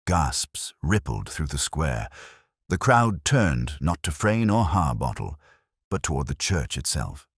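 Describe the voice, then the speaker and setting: A man's voice: extremely low pitch, very animated, slow, slightly rough, no room sound, clean studio sound. A man reading a scripted mystery story as its narrator.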